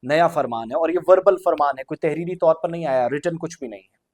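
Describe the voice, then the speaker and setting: A man's voice: a little high, brisk, darkish tone. A man giving unscripted news commentary to viewers, explaining and restating his points in Urdu-heavy wording sprinkled with English. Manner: Urdu-heavy vocabulary mixed with English words.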